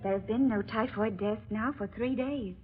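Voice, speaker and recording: A woman's voice: mid-range voice, normal speed, faint music behind, close to an everyday voice. A woman acting a devoted, romantic young princess in a melodramatic old-time radio drama. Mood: admiring. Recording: dull, noisy old radio recording.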